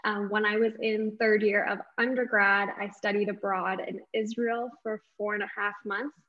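A young woman speaks as an interview guest, conversational and at an average pitch. She is on a video call through earbuds, so the sound is slightly dull and lo-fi. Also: little pitch movement, moderate pace. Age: late 20s. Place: small indoor room at home. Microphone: wireless earbuds on a video call.

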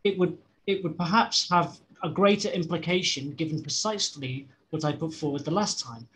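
A man with a Scouse accent reads a philosophy text aloud on a video call, stumbling and restarting phrases as he goes. His voice sits a little high for a man. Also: fairly bright tone, rough recording. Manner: halting reading with restarts and self-corrections. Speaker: study group member reading aloud. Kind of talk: reading a seminar text aloud.

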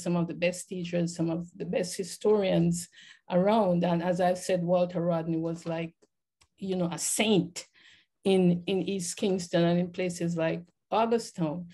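A woman's voice: lowish voice, mellow. Jamaican woman on a video call. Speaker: panelist. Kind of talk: reflective personal account on a panel. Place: home room, indoors. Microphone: laptop webcam video call.

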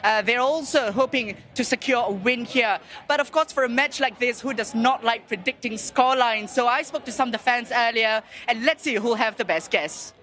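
A man's voice: very high voice, moderate pace, few pauses, a little hiss or hum. Young man reporting live outside a stadium. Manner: energetic, raises voice over crowd. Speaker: field reporter. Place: outdoors outside a stadium among crowds. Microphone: handheld mic.

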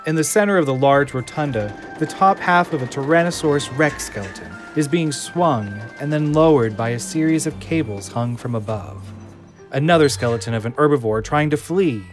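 A man narrating the stage directions of an audio drama in a steady, descriptive reading. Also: fairly high pitch, moderate pace, faint music behind.

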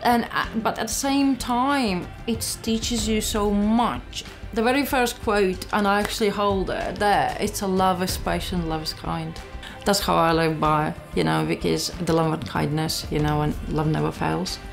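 A woman in her thirties with a Latvian accent, giving a candid, halting personal testimony. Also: on the low side, steady tone, creaky. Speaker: church member giving testimony. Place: small living room. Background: background music.

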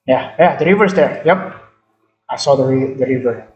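A man's voice: medium pitch, normal speed, lo-fi sound. Rambling gamer guy in an echoey room. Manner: rambling, filler-heavy, repeats words. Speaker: gaming channel host. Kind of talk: casual gameplay chat.

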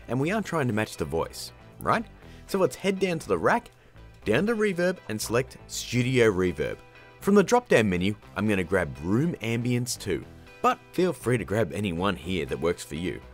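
A man hosting a casual, scripted software tutorial in a jokey, slangy, informal way. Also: mid-range voice. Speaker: tutorial host.

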